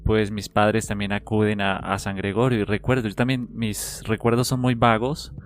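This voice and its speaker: A man with a Colombian accent in casual, reminiscing podcast talk, halting and repeating words as he goes. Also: lowish voice, little pitch movement. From small town in Colombia. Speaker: podcast panelist.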